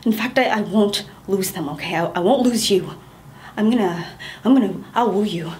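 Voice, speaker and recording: A woman's voice: medium pitch, moderate pace, a little mellow, a little hiss or hum, close to an everyday voice. A woman performing a stage monologue as a young wife who pleads with her husband not to divorce her, laughing through near tears and rambling. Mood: frantic, laughing.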